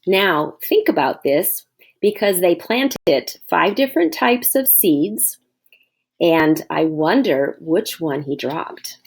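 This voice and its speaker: Casting: female elementary teacher for storybook read-aloud, unhurried, lively intonation, instructive. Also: fairly low pitch, gravelly, husky. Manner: expressive, instructive, addresses children directly.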